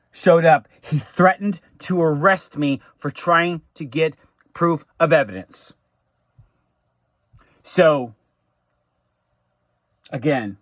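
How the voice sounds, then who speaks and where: An American man giving an unscripted personal monologue in a halting, repetitive way, with stammered restarts and emphatic drawn-out phrases. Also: on the high side, a bit bright, no room sound, studio quality. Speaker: vlogger recounting personal grievances.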